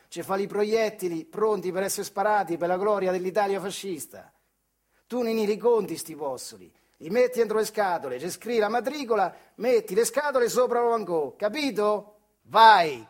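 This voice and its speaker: A man acts out a bossy male factory foreman on stage, full of boasts and orders. He speaks emphatically and coarsely in a high male voice with a central Italian Marche accent. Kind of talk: theatrical monologue in dialect.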